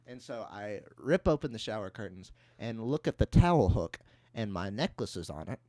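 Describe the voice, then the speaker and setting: A man's voice: fairly low pitch, sing-song, normal speed, darkish tone, no room sound. A young adult man co-hosting a podcast, telling anecdotes and bantering in a casual way. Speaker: podcast co-host.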